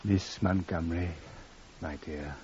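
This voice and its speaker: An actor playing a nervous, evasive gentleman doctor in 1950s radio drama, halting and distracted. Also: low-pitched, fairly even intonation, slight background noise. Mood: distracted, curt. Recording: dull vintage 1950s radio recording.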